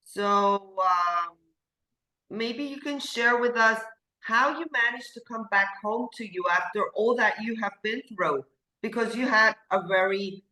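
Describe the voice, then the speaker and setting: Bright-voiced woman host, halting phrasing. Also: a little high. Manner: halting, searching for words. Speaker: show host. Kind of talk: interview questions. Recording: echoey room.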